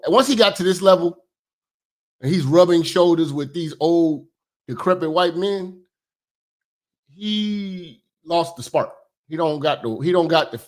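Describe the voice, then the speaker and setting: A man hosting a comedic commentary, talking loud, profane and animated, sometimes shouting. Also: on the high side. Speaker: commentary host.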